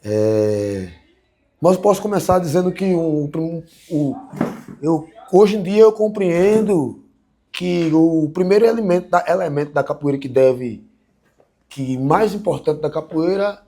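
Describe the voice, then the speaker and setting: Reflective man talking in halting phrases. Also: on the high side, expressive, dark tone. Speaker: capoeira teacher. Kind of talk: reflective interview talk.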